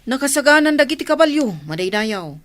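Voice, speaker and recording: A woman's voice: sits high, big pitch swings, brisk, a bit bright. A woman playing a respectful female attendant to a chief in an Ilocano radio drama. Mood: deferential.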